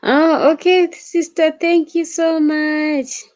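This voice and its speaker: A woman's voice: sits high, flat delivery, slow pace, a little mellow. A young woman from Africa chatting casually on a late-night live stream, reading viewer comments and calling them sister, laughing often. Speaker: vlogger.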